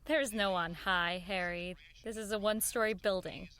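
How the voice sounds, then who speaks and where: A woman's voice: mid-range voice, fairly even intonation, normal speed, noisy background, close to an everyday voice. An actress playing an earnest, persistent woman who runs a radio show, in audio drama dialogue and first-person narration. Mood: breezy.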